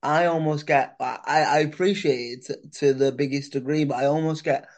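A man's voice: on the high side, steady tone, moderate pace, a little mellow. A man hosting a casual podcast chat, rambling and filling his speech with "sort of" and "like". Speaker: podcast host.

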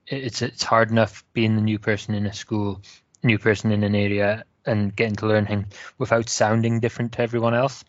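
A man's voice: fairly low pitch, flat delivery, fairly quick, no room sound, highs cut off. A man from Wales who speaks with a Scottish accent, a podcast guest answering thoughtfully with frequent restarts. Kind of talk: casual podcast chat.

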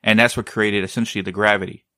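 A man's voice: lowish voice, steady tone, quick pace, sharp tone, no echo. A man hosting a casual chat, rambling and often searching for the right word.

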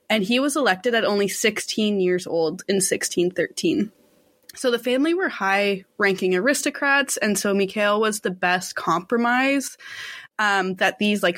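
A young woman tells a history story on a podcast in a relaxed, chatty way. She often uses fillers and corrects herself mid-sentence. Her voice is recorded close and dry, with no room sound, and sits at a middle pitch. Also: no room sound. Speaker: podcast co-host. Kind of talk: casual podcast narration of history.

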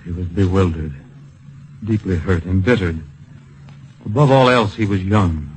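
An actor playing an earnest, solemn storyteller, narrating in poetic phrases with dramatic pauses in an old-time radio drama. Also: male voice, low-pitched, slight background noise, poor audio. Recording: vintage radio broadcast with music.

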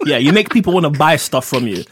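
Male podcast co-host, slightly higher voice, playful teasing delivery. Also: animated, quick pace, noisy background. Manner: jokey, teasing, laughs often. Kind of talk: casual chat.

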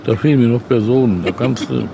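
Older male author guest, low gravelly voice, loose casual chat, vehicle noise behind. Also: fairly quick. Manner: easygoing, agreeing with short murmurs. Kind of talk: casual conversation.

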